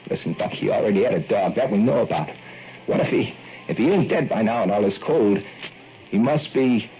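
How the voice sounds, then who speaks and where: An actor playing a gruff, secretive small-town handyman who speaks tersely in a gravelly rural voice, in an old radio drama. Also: lowish voice, expressive, a little fast, lightly acted. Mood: uneasy. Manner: terse, hushed, rural dialect. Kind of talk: 1940s radio acting. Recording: tinny old radio broadcast, faint music.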